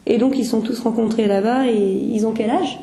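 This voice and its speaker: Woman in her twenties, student, polite inquisitive questions, very dark warm voice, echoey room. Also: fairly high pitch, fairly even intonation, normal speed, vocal fry. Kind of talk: casual conversation practice.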